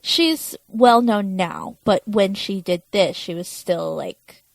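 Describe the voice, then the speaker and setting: Female podcast co-host, casual delivery, mid-pitched creaky voice, dry close recording. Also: takes it slowly, no room sound. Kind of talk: casual chat about a TV episode.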